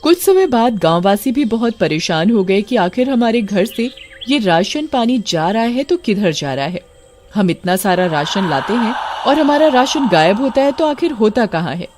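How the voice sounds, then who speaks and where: A narrator telling a cartoon folk tale in a steady, matter-of-fact storybook manner. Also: medium pitch, normal speed, soft background music.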